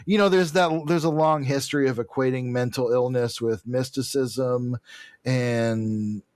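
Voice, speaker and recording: A man's voice: medium pitch, fairly even intonation, unhurried, darkish tone, no echo, studio quality. A man co-hosting a podcast in casual discussion, talking in a hedging, discursive way that often corrects itself. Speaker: podcast co-host. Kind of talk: casual comic book discussion.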